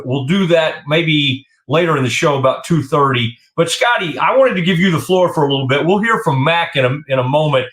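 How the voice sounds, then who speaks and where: A man's voice: on the high side, fairly bright tone. A middle-aged man with a Texan accent hosting a sports talk show, rambling casually with lots of fillers. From Texas. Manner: rambling, many fillers and restarts. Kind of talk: casual radio sports chat.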